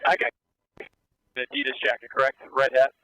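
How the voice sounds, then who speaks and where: A man's voice: mid-range voice, a little fast, sharp tone, smooth, no echo, rough recording. A man speaking over police radio as an air unit officer, giving terse, directive tactical callouts. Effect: police radio transmission.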